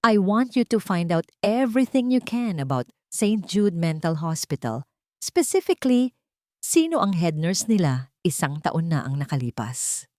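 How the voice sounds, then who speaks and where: A woman's voice: lowish voice, sing-song, unhurried, dark tone, no echo, studio quality. A woman voicing a determined male CEO in an audio story, giving clipped, businesslike orders. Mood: urgent, commanding. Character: determined, commanding male CEO searching for answers.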